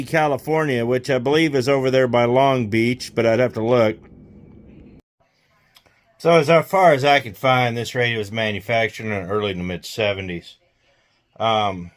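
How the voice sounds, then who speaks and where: A man's voice: medium pitch, fairly bright tone. A man hosting a hands-on repair video in a casual, folksy, jokey way with colloquial wording. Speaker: host of a CB radio repair video.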